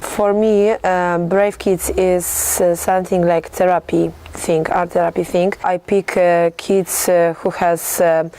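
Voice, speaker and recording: A woman gives a documentary interview as a group leader. Her voice is lowish, mellow and clean, delivered at a slow pace. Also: flat delivery, smooth.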